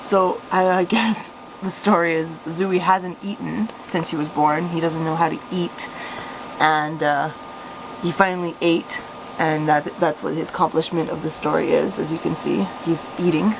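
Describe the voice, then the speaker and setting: A woman's voice: sits low, normal speed, gravelly, noisy background. A woman reading out her own childhood story with casual, amused, self-mocking commentary. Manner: self-mocking, chuckly, animated when reading. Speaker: storyteller, vlogger.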